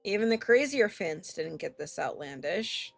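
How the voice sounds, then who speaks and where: A woman's voice: fairly low pitch, very animated, moderate pace, gravelly, soft background music. A woman streamer reading a visual novel aloud expressively, with casual, sweary reactions.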